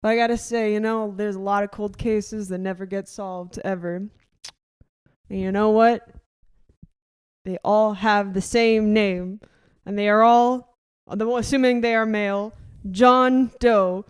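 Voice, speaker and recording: A woman's voice: mid-range voice, steady tone, smooth. A young American woman co-hosting a comedy podcast, joking and laughing as she argues a playful debate. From United States. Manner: joking, quick, laughs often.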